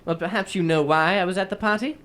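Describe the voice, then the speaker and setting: A woman playing a shrewd, composed English gentleman detective in a radio drama, telling his case in formal, measured phrases. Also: on the low side, lightly acted. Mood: polite, probing.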